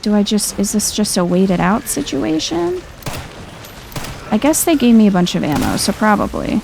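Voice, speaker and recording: A woman giving soft-spoken, chill ASMR commentary over a video game playthrough, thinking out loud in a low-key way. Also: big pitch swings, moderate pace, creaky, a little hiss or hum.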